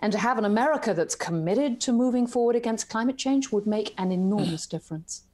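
A woman's voice: on the high side, lively intonation, a little fast, darkish tone. A woman panelist on a video call, answering in a direct, emphatic way. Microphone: webcam on video call.

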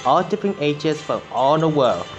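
A seventeen-year-old man from Vietnam, reacting casually in halting English and repeating phrases. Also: a little high, big pitch swings, dull audio, over music. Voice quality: non-native, halting English. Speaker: reaction video host.

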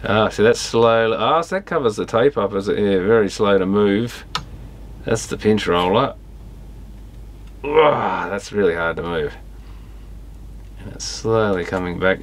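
A man's voice: on the low side, unhurried, pauses often, a little hiss or hum. A man giving casual, unscripted commentary as he tinkers with electronics, thinking aloud in fragments and trailing off. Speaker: electronics repairer and video host.

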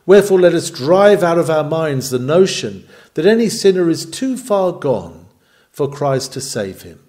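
A man's voice: a little high, very animated, measured pace, a little mellow. A man reading an old sermon aloud in an earnest, emphatic, oratorical manner. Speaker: reader of historical sermons. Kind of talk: dramatic read-aloud sermon.

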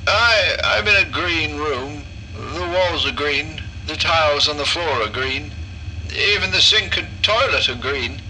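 A man's voice: a little high, lively intonation, unhurried, bright, forceful tone, a little hiss or hum, full character voice, rough recording. A man playing a chatty male patient in a horror audio drama, rambling and chuckling through his story. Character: chatty, apple-obsessed middle-aged male patient.

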